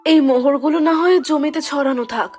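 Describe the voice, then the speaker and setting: A woman voicing an expressive young village wife in an animated folk tale. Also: high voice, fast, faint music behind, lightly acted. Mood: calm. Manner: exclaiming, expressive.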